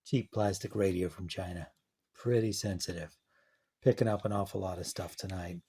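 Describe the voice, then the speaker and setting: A man's voice: low voice, steady tone, normal speed, warm tone, husky. A man giving casual, offhand, slightly wry hands-on commentary as a hobbyist reviewer. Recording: noisy with clicking.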